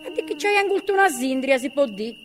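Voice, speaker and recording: An actress playing a woman recalling her youth, telling it slowly in a Sardinian accent from Gallura. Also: sits high, lively intonation, a little hiss or hum. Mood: wistful reminiscing. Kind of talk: dramatized audiobook monologue in dialect. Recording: long reverb, loud music behind.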